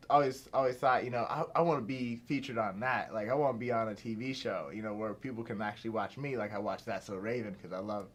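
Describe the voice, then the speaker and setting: A young man from Pittsburgh, a filmmaker guest chatting casually in an interview, rambling with lots of fillers and restarts. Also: mid-range voice. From Pittsburgh, USA.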